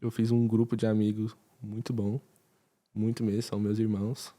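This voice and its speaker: A man's voice: on the low side, normal speed. A man from Brazil, a podcast guest, chatting casually and telling stories with frequent laughter. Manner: laughing, animated storytelling. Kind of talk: casual chat.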